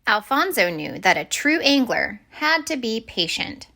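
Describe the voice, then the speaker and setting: A woman reading a children's picture book aloud as expressive story-time narration. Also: fairly high pitch, measured pace.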